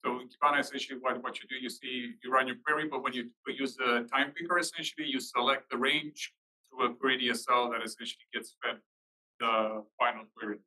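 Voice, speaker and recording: Bright-voiced man explaining tech. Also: medium pitch, rapid-fire, rough recording. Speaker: technical presenter. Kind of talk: informal technical explanation at a meetup. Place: live meetup room.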